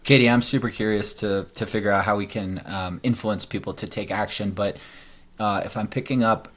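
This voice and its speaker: A man co-hosts a podcast and puts careful, curious questions to the guest. His voice is fairly low and his pace is unhurried. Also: steady tone, fairly bright tone. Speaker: podcast co-host.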